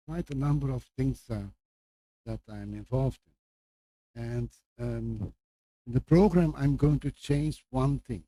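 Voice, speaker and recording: An older man from Belgium, a native Flemish speaker with a Flemish accent, gives an informal lecture introduction as a visiting professor in a halting way, with repeated words and asides. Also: medium pitch, mellow, rough recording. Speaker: guest lecturer, medical professor.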